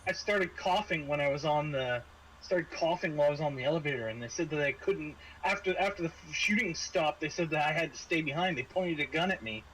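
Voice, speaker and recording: A man's voice: on the high side, a little fast, fairly bright tone, natural voice. Teenage space pirate, male, played by a game master: frightened, ailing, stumbling over slangy words, slightly noisy recording. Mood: nervous, aggrieved. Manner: stammering, slangy.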